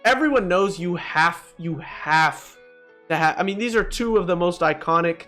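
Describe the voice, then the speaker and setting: A man's voice: high-pitched, moderate pace, pushed and bright. A man giving casual, blunt, slangy ranking commentary as host of a gaming video. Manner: slangy and blunt, addresses viewers as bro. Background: music.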